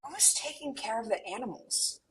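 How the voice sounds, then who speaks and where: A woman's voice: a little high, expressive, moderate pace, fairly bright tone, husky, full character voice. A woman playing a playful, curious millennial twin sister with a mystical streak, in a romcom table read. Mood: light, curious.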